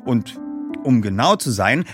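A man's voice: medium pitch, sing-song, a little slow, music in the background. A man voicing a polite, self-assured teenage boy detective in an audiobook, formal and articulate.

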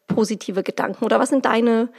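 A woman's voice: a little high, brisk, creaky, a little mellow, slightly rough. A woman hosting a casual interview, chatty and asking follow-up questions. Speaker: host.

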